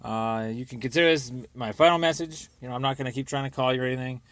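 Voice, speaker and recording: A man's voice: mid-range voice, fast talker, a bit bright, dry recording. A young American man talks through a long personal voicemail. He sounds aggrieved and insistent, circling back over the same points in a rambling way. The sound is dry, with very little room around him. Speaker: caller leaving a voicemail.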